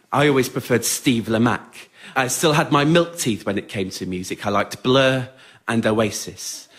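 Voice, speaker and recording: A man's voice: mid-range voice, a bit bright. A man performing scripted, autobiographical comic storytelling to a live audience in a theatre. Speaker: writer-performer of a one-man spoken word show. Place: on stage in a radio theatre with a laughing audience.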